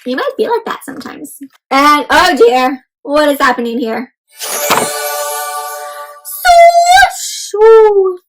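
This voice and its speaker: A woman reading a picture book aloud to children, expressive and full of questions, exclamations and little sound effects. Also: sits high, slow pace, long pauses, over music, low-quality recording. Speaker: children's read-aloud storyteller.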